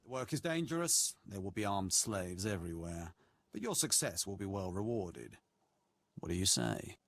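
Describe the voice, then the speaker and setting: An actor playing a sadistic, courteous evil lord in a video game, pompous and savoring every cruel word. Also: male voice, low-pitched, big pitch swings, normal speed, fairly bright tone, no echo, exaggerated voice. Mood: scheming, courteous. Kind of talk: video game villain acting.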